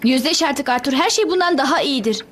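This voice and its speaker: A woman's voice: sits high, lively intonation, a little fast, fairly bright tone, a little hiss or hum. A woman voicing a concerned, insistent friend in a dubbed cartoon, urging and persuading.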